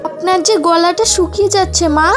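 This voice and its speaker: A woman's voice: very high voice, steady tone, a little fast. A woman voicing a young, earnest little bird in a cartoon moral story, with an emotive, pleading manner. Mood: concerned. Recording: music behind the voice. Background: music.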